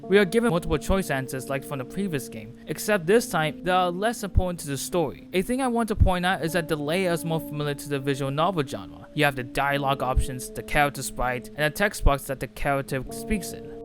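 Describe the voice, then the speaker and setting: A man's voice: a little high, expressive, brisk, few pauses. Earnest man narrating a video essay over music. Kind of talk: scripted video essay commentary on a game. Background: music playing under the voice.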